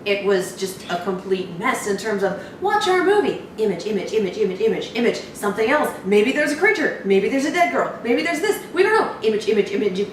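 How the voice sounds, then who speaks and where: A woman's voice: mid-range voice, big pitch swings, brisk, hardly any pauses. A woman co-hosting a reaction show, giving casual, blunt, sweary commentary with exasperated emphasis. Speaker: co-host of a horror trailer reaction show. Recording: very echoey noisy room, distant mic.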